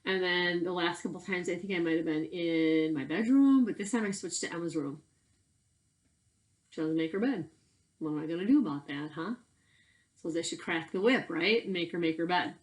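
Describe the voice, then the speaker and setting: A woman's voice: lowish voice, normal speed. A middle-aged woman chatting casually and reading a storybook to children in a conversational way. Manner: conversational, addressing young listeners with questions. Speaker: read-aloud storyteller for children. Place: indoors in a bedroom at home.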